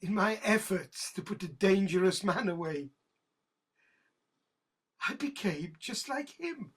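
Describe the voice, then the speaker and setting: A British ex-detective in an audio drama, weighed down by guilt. His husky voice moves slowly and haltingly, often repeating a word before he can go on. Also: high-pitched, big pitch swings, fairly bright tone, exaggerated voice. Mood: haunted, confessing. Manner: halting, slow, stammering repetitions. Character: broken, guilt-ridden disgraced male police detective, now prisoner.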